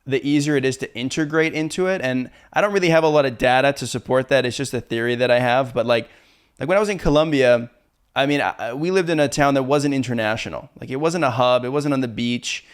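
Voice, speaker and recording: A man's voice: mid-range voice, quick pace, clear, resonant tone. A man hosting a casual interview, chatty and loose, with many fillers and easy laughs. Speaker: interview host.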